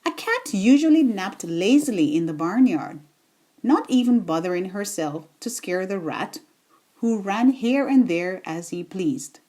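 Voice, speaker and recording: A woman reading a children's bedtime story aloud in a deliberate, expressive storytelling manner. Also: medium pitch.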